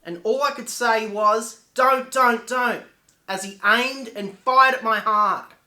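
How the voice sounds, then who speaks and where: A man playing a betrayed dead husband, telling his tale as a grave, deliberate poetic monologue. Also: very high voice, steady tone, sharp tone, full character voice. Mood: grave, haunted. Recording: echoey room.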